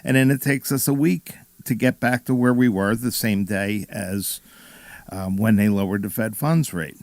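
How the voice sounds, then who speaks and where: A man appearing as a mortgage lender guest on a talk show, speaking in a measured, matter-of-fact way. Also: on the low side, darkish tone, no echo. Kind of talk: casual talk radio chat.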